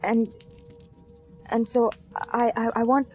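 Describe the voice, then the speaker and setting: Anxious, haunted wife for vintage radio suspense, highish voice, dramatic delivery, dull old recording with music behind. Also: female voice, flat delivery, takes it slowly, mellow. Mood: faltering, anxious. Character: anxious, haunted vaudeville wife longing for divorce. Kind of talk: 1940s radio suspense acting.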